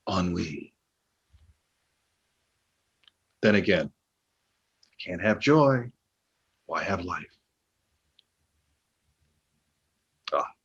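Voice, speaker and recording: A man playing a probing, cynical former literary agent in a naturalistic two-man play, pointed and halting, with a husky voice. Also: deep voice, a bit bright, lo-fi sound, heavily acted. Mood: dryly provocative. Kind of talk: naturalistic stage dialogue.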